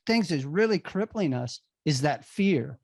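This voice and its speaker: A man's voice: a little high, animated, a little slow, darkish tone, dry recording, clean studio sound. A man hosting a podcast interview, talking earnestly and emphatically in a casual conversational way. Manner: earnest, emphatic, builds to indignation. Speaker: podcast host.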